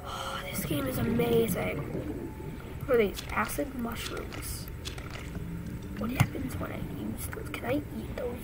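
A woman hosting a casual let's-play, her voice mostly gone from illness so she often whispers, reacting excitedly to the game. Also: fairly high pitch, unhurried, creaky, pauses often, heavy background noise. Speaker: gaming channel host. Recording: game music loud behind.

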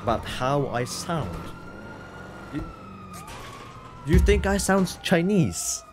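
A man's voice: a little high, very animated, slow, noisy background. Laughing young male game streamer. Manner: incredulous, laughing, teasing back at chat. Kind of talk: casual live-stream chatter reading chat.

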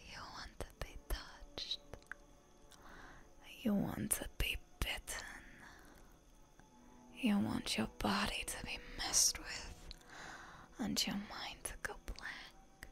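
A woman playing a seductive, predatory female vampire in a whispered roleplay ASMR, teasing and deliberate in a breathy voice. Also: mid-range voice, steady tone, fairly bright tone, full character voice. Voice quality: breathy whisper with heavy creak.

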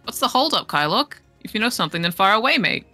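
A woman voicing a plucky, excitable young female raccoon sailor from a game, brash and full of mate-style slang. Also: mid-range voice, fast talker, dry recording, faint music behind. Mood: brash, friendly. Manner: Aussie slang, brash, stammering when scared. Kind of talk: amateur game fandub.

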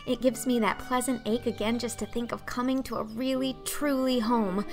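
A woman's voice: on the high side, fairly even intonation, normal speed, over music, voice pushed up, natural voice. A woman voicing a dreamy, talkative, imaginative orphan girl in an audiobook, breathless and earnest. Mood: eager, earnest.

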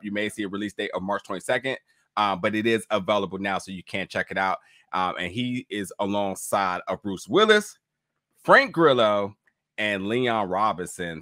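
A man's voice: on the low side, pushed and bright, no echo, clean studio sound. A man in his thirties hosting an online interview channel, upbeat and speaking straight to his viewers. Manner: upbeat, addresses viewers as folks. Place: home gaming room.

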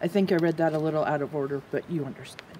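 A woman's voice: sits low, very fast, mellow, slight background noise, low-quality recording. A middle-aged woman narrating a children's story time as a ranger, putting questions to her listeners. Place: outdoors at a log cabin in woods.

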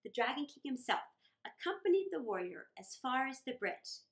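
High, very animated woman reading a children's story. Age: middle-aged. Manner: theatrical, deliberate phrasing with dramatic pauses. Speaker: children's storytime reader and host. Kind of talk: dramatic read-aloud storytelling for children.

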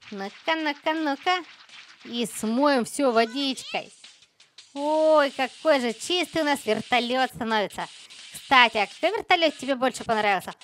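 A woman's voice: on the high side, fairly bright tone, slight background noise. A woman hosting a children's gaming channel, giving casual, chirpy commentary with playful exclamations and cute diminutives as she talks to young viewers.

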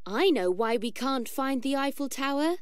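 A woman's voice: sits high, expressive, moderate pace, no echo, studio quality, pitched above the performer's own voice. A woman voicing a confident, clever child adventurer in a storybook, declaring things eagerly.

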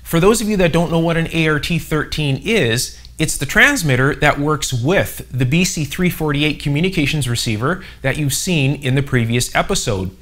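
A man's voice: fairly high pitch, expressive, normal speed, few pauses, a bit bright. Middle-aged man presenting from his workshop. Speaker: host of an electronics restoration channel. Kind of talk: informal explanatory workshop presentation. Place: indoor electronics workshop.

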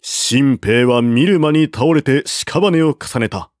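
A man reading a classical war novel aloud as narrator, in a slow, measured way at a close mic. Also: medium pitch, lively intonation, no echo. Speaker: audiobook narrator.

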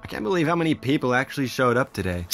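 A man's voice: mid-range voice, sing-song, very fast, music in the background. An actor playing a jealous, hot-headed teenage boy in a naturalistic audio drama. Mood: grumbling, casual. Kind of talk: naturalistic audio fiction acting.

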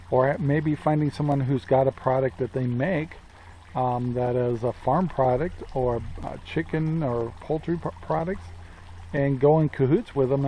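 A man's voice: mid-range voice, mellow, a little hiss or hum, poor audio. Older man chatting outdoors, ums a lot. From Central Oregon, USA. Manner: rambling, many ums and uhs, asks and answers own questions. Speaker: homestead vlogger. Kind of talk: casual talk to camera. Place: outdoors in a sunny yard with trees. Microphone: camera close in front, selfie framing.

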